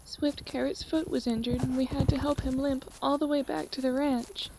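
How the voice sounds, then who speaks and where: A woman playing a friendly, teasing young ranch girl in a fantasy audio drama, chatty and expressive. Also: high-pitched, moderate pace, noisy background. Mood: worried, somber.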